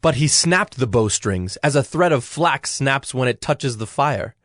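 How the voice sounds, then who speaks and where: A man narrating dramatized scripture in a measured storytelling manner. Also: mid-range voice, expressive, fairly bright tone, no room sound. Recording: dry studio with music underneath. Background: music.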